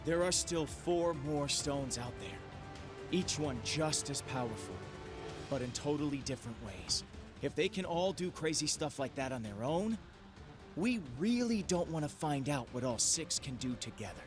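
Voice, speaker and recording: A man's voice: on the high side, lightly acted. An actor playing a wisecracking male hero who gives rousing speeches and jokes at his own expense. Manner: quippy, self-deprecating, rousing. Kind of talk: video game voice acting. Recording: game cutscene audio with music.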